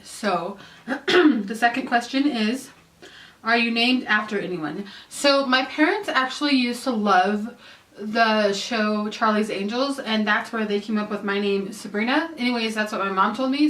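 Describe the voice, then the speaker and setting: A woman's voice: mid-range voice, fairly bright tone. Rambly woman in an echoey room. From California. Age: 30s. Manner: chatty and rambling, many "um"s and "like"s. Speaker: YouTuber. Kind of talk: casual question-and-answer vlog. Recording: roomy echo, mic at a distance.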